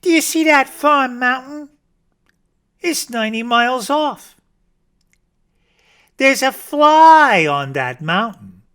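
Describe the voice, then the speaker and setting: Cocky little bragging rabbit, played by a male reader: snappish storybook animal, pitched high, bright and sharp. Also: lively intonation, slow, long pauses, clean tone, heavily acted. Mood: boastful. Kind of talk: theatrical children's storybook reading.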